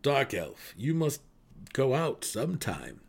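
A man voicing an old deep gnome warden in a fantasy audiobook, hearty and melodic with a growl, speaking in formal, old-fashioned phrasing. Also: big pitch swings, takes it slowly, gravelly, slightly rough, full character voice. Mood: urging. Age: older. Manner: formal, archaic inverted phrasing.

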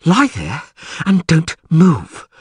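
Man voicing an imperious, scornful sorceress, pitched up. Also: big pitch swings, moderate pace, husky, no echo, studio quality. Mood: scornful, commanding. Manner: clipped, commanding, through gritted teeth. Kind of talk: audiobook character voice.

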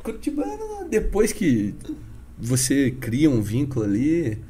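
This is a chatty man in his thirties with a dark, mellow tone and a Paraná interior Brazilian Portuguese accent. He tells stories as a podcast guest with an animated, informal delivery, full of "cara" and "né" tags. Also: medium pitch, a little slow, slightly rough. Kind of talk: casual storytelling interview.

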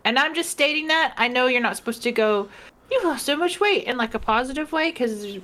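A woman's voice: sits high, lively intonation, a little fast, bright, forceful tone. Woman, podcast co-host, casual chat, rambling, giggly, tipsy, tired. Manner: rambling, giggly, lots of fillers. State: tipsy and socially drained.

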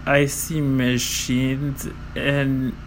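Man, flat monotone, slow hesitant list reader who trips over names. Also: mid-range voice, a little hiss or hum. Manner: slow, halting, with many fillers and self-corrections; stumbles over foreign names and apologizes. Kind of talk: reading a list of world records.

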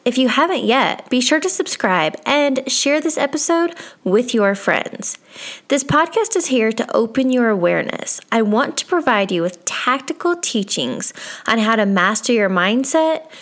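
A woman's voice: on the high side, sing-song, moderate pace. A woman hosting a motivational podcast, reading an upbeat intro and asking her guest questions. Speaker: podcast host. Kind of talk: scripted intro, then interview questions.

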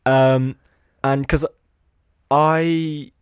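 A man in his late teens chatting informally, telling stories in a halting, repetitive way. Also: mid-range voice, flat delivery, clear, resonant tone, no room sound, lo-fi sound. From Lithuania, living in England. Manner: informal, halting, repeats himself. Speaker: podcast guest, meme page owner. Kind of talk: casual chat, informal storytelling.

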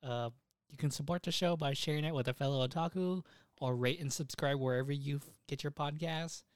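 A man's voice: fairly high pitch, brisk, dry recording, studio quality. A young man hosting a podcast, talking informally with plenty of slang. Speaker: podcast host. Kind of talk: casual podcast chat.

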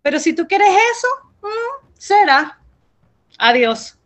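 A woman's voice: extremely high pitch, very animated, slow, exaggerated voice. A woman playing a sly, mercenary accomplice in a radio soap opera. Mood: sly, menacing.

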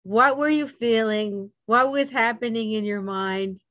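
A woman's voice: on the high side, unhurried, fairly bright tone, no room sound. A woman hosting a podcast who reads a formal introduction and then asks questions in a friendly, chatty way. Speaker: podcast host. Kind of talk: podcast interview. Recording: dry, dull lo-fi sound.

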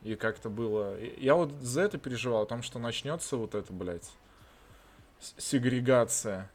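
A man's voice: medium pitch, moderate pace. Blunt, swearing Russian-born man. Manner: swears a lot, animated and blunt. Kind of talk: casual chat. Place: lives in Israel.

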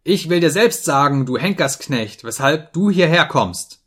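A man voicing an overwrought, proud master who shouts furious, repetitive commands at his servant in an audiobook story. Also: a little high, lively intonation, a little slow, slightly rough, voice pushed up, full character voice. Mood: enraged.

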